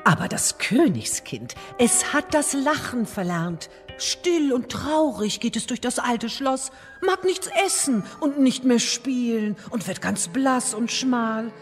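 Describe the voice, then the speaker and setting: A woman's voice: a little high, lively intonation, slow, faint music behind. An actress playing a concerned, gossiping woman of the royal court in a fairy-tale audio play. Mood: worried.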